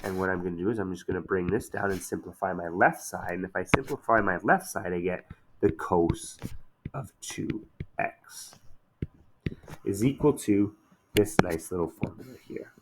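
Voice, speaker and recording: A man teaching a math lesson in a relaxed, conversational way, slightly hoarse after a day of shouting, often checking in with "okay?". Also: sits low, moderate pace, noisy background.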